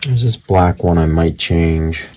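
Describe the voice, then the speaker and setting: A man's voice: low voice, takes it slowly, mellow. A man giving a casual, unscripted repair tutorial in a rambling, conversational, matter-of-fact way. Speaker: radio repair hobbyist presenting a tutorial. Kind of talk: casual unscripted workbench explanation.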